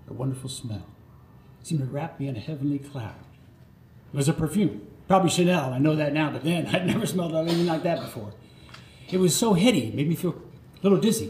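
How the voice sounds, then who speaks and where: An older American man performing a nostalgic autobiographical monologue live, theatrical, with dramatic pauses. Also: a little high, a little mellow. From Florida, United States. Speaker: storyteller. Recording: roomy live recording with faint noise.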